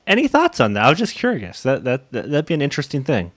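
A man's voice: mid-range voice, wildly swooping pitch, fast talker, a bit bright, no echo. A man hosting a casual interview, hesitant and often restarting his phrases. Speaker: interview host.

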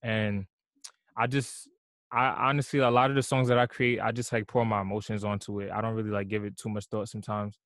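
A man's voice: lowish voice, little pitch movement, fairly quick, a bit bright, no echo. A young man from New York, a musician being interviewed, talking in a laid-back way full of "like" and "you know". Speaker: musician guest. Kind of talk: casual interview conversation.

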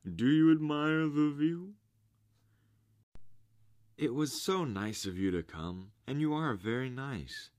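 A man voicing a pompous, coaxing walrus from a storybook, with a grand, drawn-out, theatrical delivery. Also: medium pitch, animated, a little mellow, pitched above the performer's own voice. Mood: polite. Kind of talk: storybook character reading.

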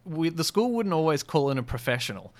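A man's voice: on the high side, sing-song, a little fast, a little hiss or hum. A man with an Australian accent co-hosting a podcast, chatting and telling stories in a wry, joking, rambling way. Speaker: podcast co-host. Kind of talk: casual comedic chat and storytelling.

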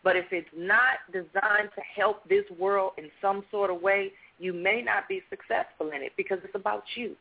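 A woman's voice: mid-range voice, fairly even intonation, normal speed, vocal fry, hardly any pauses, breathy edge. A woman guest giving motivational, preachy advice about purpose in a radio interview. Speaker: guest, natural hair business owner. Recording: tinny phone line.

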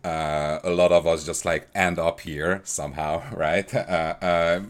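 A man's voice: low voice, fairly even intonation, measured pace. A man hosting a podcast, asking questions hesitantly with many restarts. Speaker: podcast host. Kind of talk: interview questions.

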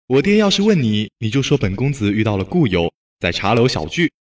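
An actor playing a courteous, scholarly young gentleman in a Chinese period audio drama, courtly and formal. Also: medium pitch, sing-song, fairly quick, close to an everyday voice. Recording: music behind voice.

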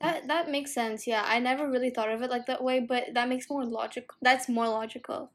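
A woman's voice: fairly high pitch, fairly even intonation, brisk. A woman raised in Singapore, co-hosting a casual discussion podcast and talking hesitantly, with many fillers and restarts. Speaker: podcast co-host.

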